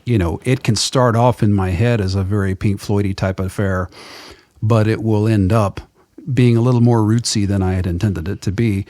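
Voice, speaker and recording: A middle-aged man with a low voice, a musician guest talking in a loose, rambling way, laughing now and then. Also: steady tone, moderate pace, mellow, no room sound. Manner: rambling, digressive storyteller with frequent laughs. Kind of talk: casual conversation.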